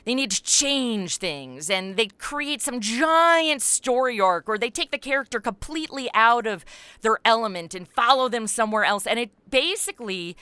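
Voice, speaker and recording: A woman speaking conversationally as an interview guest, explaining her creative work in an animated, expansive way. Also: on the high side, normal speed, clean tone, dry recording.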